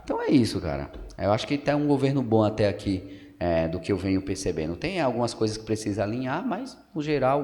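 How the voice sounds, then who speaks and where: A man's voice: lowish voice, a little mellow. A man in his thirties from Pernambuco, a podcast guest and local journalist giving casual political commentary, explaining at length with frequent tag questions and fillers. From Pernambuco, Brazil. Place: council building room. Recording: long hall reverb.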